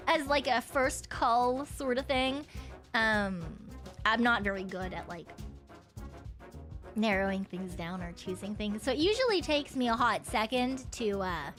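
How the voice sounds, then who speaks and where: A woman's voice: fairly high pitch, expressive, measured pace, a bit bright, faint music behind. Chatty streamer woman, slightly throaty voice. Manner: rambling, chatty, swears casually, laughs often. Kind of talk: casual chat stream.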